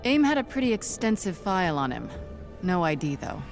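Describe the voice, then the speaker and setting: A woman's voice: lowish voice, big pitch swings, fairly quick. A woman playing a cool, probing, guarded superhero spy in a video game, clipped and dry. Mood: cool, businesslike. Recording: game music behind the voice.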